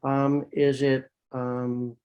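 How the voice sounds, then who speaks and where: A man's voice: mid-range voice, monotone, very slow, lo-fi sound. A psychiatrist, a man, answering questions thoughtfully over a video call, often repeating words as he thinks. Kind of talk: answering questions in an online talk.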